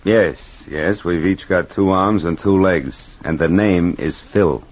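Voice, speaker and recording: An American actor playing a tough, wisecracking private detective in old radio drama, speaking in clipped, sardonic slang. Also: male voice, sits low, slow pace. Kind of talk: 1940s-50s radio drama acting, hard-boiled narration. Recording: dull noisy vintage radio recording.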